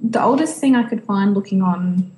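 A woman's voice: mid-range voice, moderate pace, warm tone. A woman co-hosting a podcast in casual fan discussion. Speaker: podcast co-host. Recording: roomy echo, faint background noise.

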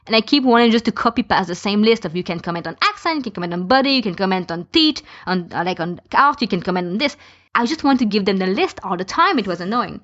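A woman in a casual podcast discussion, giving her opinions and explaining them through lists of examples. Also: medium pitch. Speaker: podcast speaker, fan podficker. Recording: dull lo-fi voice recording.

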